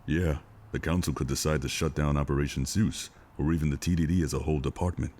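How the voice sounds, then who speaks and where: A man acting a stoic, weary operative and father in an audio drama, speaking in a measured, resigned way. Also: very deep voice, steady tone, a little mellow.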